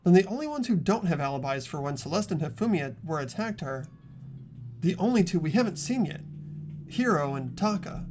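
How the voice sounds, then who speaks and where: Earnest teenage hero, played by a man, mid-high youthful register, lively intonation, worried, kind, game dub. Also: brisk, faint music behind. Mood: reasoning. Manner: earnest, hesitant. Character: earnest, anxious, kindly teenage boy protagonist. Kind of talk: amateur game voice acting and narration.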